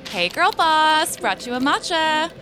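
A woman's voice: high voice, big pitch swings, measured pace, sharp tone, a little hiss or hum, exaggerated voice. Swooping, gushing young woman. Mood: perky, patronizing. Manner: gushing, slangy, exaggerated. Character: breezy, gossipy, trend-obsessed young woman coworker. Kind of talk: comedic audio drama acting. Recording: music bed under the voice.

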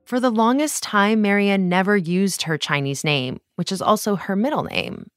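A woman's voice: medium pitch, normal speed, soft background music. A woman hosting, reading scripted narration for a news podcast. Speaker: podcast host.

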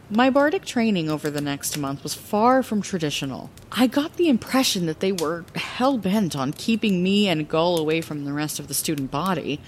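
A woman playing an earnest young female bard, telling her own story in an expressive, lyrical fantasy audio drama narration. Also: on the low side, a little hiss or hum. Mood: weary, rueful.

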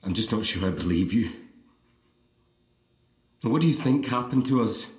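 An actor playing a headstrong young twin in an audio drama, bewildered and distrustful, questioning haltingly and intensely. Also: male voice, fairly low pitch, animated, a little mellow, roomy sound, lightly acted. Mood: confused, suspicious. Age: young adult.

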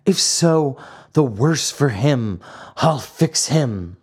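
Man playing an old carpenter, stuttering, scared, lively pitch swings, storybook. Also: slow. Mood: frightened. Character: old carpenter, frightened, blustering.

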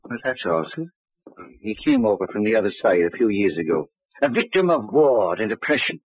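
An actor playing an earnest, deferential police subordinate in an old radio crime drama, stammering and rambling. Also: male voice, lowish voice, very animated, fairly quick, slightly rough, no echo. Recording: muffled, band-limited old radio recording.

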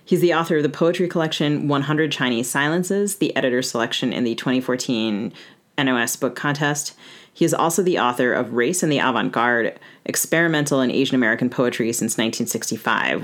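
A man's voice: medium pitch, fast talker, a bit bright. A man co-hosting a podcast, reading a scripted introduction of a guest. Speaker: podcast co-host.

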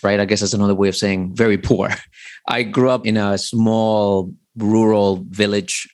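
A man's voice: fairly low pitch, dry recording. A man in his forties from the Dominican Republic, a lecturer telling his life story as a podcast guest, reflective, with fillers. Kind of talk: podcast interview.

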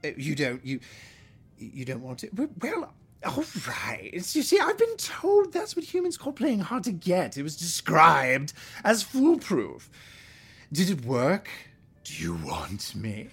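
Vain, flirtatious alien prince, florid and pompous, animated pitch swings, slightly husky. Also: male voice, slow, heavily acted. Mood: flirtatious, flustered. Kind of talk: audio fiction acting.

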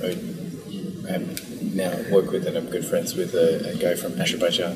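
An Australian man tells a casual story about a cold trip, stumbling and restarting often. His voice sits very low with little lift. He sounds a bit distant from the microphone. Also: level tone, reverberant. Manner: halting, full of restarts and self-deprecating. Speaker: guest, new father.

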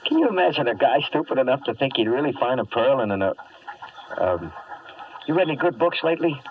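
A muffled old radio recording carries a male actor as a hard-boiled American wiseguy. He rattles along in slangy deadpan, wry and streetwise, a little distant from the mic. It is classic 1950s detective drama. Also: medium pitch, quick pace, natural voice. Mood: wisecracking. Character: wisecracking streetwise male adventurer narrating his escapade.

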